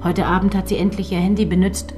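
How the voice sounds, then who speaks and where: A woman's voice: fairly low pitch, level tone, fast talker, mellow, natural voice. A woman playing a cool, sardonic FBI agent, dry and matter-of-fact, in a radio drama. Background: faint music.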